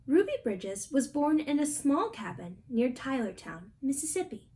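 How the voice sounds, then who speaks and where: A woman's voice: high voice, sing-song, moderate pace, mellow. A young adult woman reading a picture book aloud as a storybook reader. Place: small indoor room at home.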